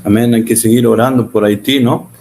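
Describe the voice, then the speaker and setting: Prayerful host, faint noise. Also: male voice, mid-range voice, steady tone, fairly quick, a little mellow. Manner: prayerful, prompting with frequent "¿no?". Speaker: interviewer, host.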